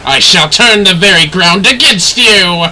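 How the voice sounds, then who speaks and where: An actor playing an arrogant, menacing immortal sorcerer in a fantasy video game, declaiming in archaic, grandiose language over noisy game audio. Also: male voice, high-pitched, expressive, a little slow, bright, forceful tone, soft background music, exaggerated voice. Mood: imperious. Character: theatrical, arrogant immortal male dark sorcerer villain.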